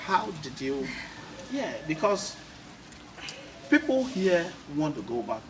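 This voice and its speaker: A man's voice: on the high side, very animated, takes it slowly. A man with a Ghanaian accent hosting an interview, asking emphatic, repetitive questions. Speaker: host, interviewer. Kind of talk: on-camera interview for an online talk show. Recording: clearly noisy ambient background.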